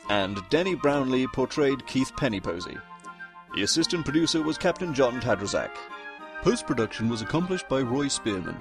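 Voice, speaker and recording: Male narrator over music. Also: medium pitch. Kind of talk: scripted closing-credits announcement.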